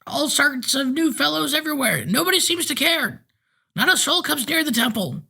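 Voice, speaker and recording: A man's voice: very high voice, expressive, normal speed, vocal fry, bright, forceful tone, voice pushed up, exaggerated voice. A man reading a story aloud, voicing a frail, cranky eighty-year-old man with a thin, piping voice. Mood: grumbling.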